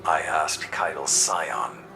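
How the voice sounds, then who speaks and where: A battle-hardened old game commander with an extremely low, gravel-edged voice. He is stern and solemn, weighing every word at a slow, measured pace. Music plays behind him. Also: fairly even intonation, heavily acted. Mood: frustrated, brooding. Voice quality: deep, gravelly. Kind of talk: dramatic video game dialogue.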